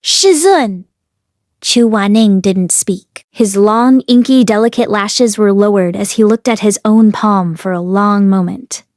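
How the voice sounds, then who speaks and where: A woman narrating a novel aloud as an expressive read-along host. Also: mid-range voice, measured pace, hardly any pauses, dry recording, clean studio sound.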